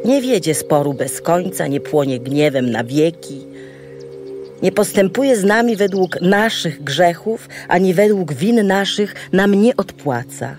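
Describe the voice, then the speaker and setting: An actor playing a reverent psalmist praising God in an audio drama, measured and devotional. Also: male voice, sits high, animated, music in the background. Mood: reverent praise.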